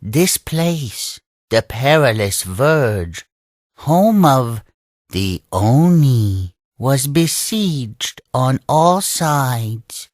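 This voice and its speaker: An actor playing an old, serene creature king in a video game, speaking in a solemn, measured, mystical way. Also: medium pitch, very animated, no room sound, clean studio sound. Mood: solemn, storytelling. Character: old, serene, sage-like Oni king telling his people's tale. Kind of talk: video game cutscene storytelling.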